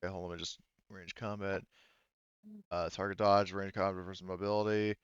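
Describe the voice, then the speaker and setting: A man's voice: low-pitched, little pitch movement, fairly quick, clean tone, no echo, dull audio. A man running a tabletop game as game master, narrating casually and thinking aloud in a hesitant way.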